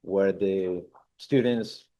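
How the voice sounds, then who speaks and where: Low-voiced man asking halting questions. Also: mellow.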